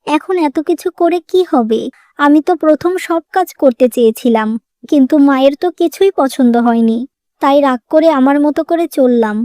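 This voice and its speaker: A woman's voice: high-pitched, normal speed, mellow, dry recording, studio quality, lightly acted. A woman voicing an emotional, plaintive young modern wife in a moral-story cartoon. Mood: heartbroken.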